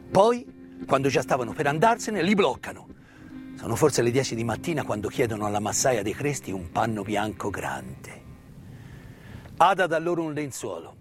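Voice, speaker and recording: A man giving a dramatic theatrical narration of a wartime story, measured and emphatic, with pauses and hushed phrases. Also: mid-range voice. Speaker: stage actor and storyteller. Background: live musical accompaniment.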